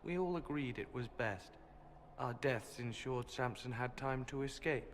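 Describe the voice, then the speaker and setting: A mid-pitched male voice in long, hall-like reverb as an actor plays him, a serene and emotionless servant in a fantasy game. His delivery is flat, deliberate and detached, with faint ambience behind. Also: a little hiss or hum, close to an everyday voice. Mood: calm, detached. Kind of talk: dramatic video game acting.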